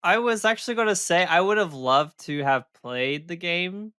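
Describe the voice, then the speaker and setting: A man's voice: high voice, moderate pace, sharp tone, clean tone, no echo, studio quality. A man co-hosting a casual gaming podcast who thinks aloud hesitantly, with many fillers. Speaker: podcast co-host.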